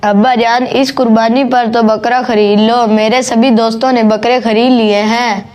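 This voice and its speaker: A woman's voice: fairly high pitch, level tone, moderate pace, a little mellow, close to an everyday voice. A woman voicing an insistent, pleading little son in a cartoon story.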